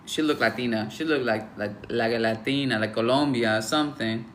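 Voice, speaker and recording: A man's voice: medium pitch, brisk, slight background noise. A young man hosting a casual livestream, giving blunt, offhand verdicts on viewers' photos with lots of fillers and teasing jokes at the chat. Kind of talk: casual live-stream chat.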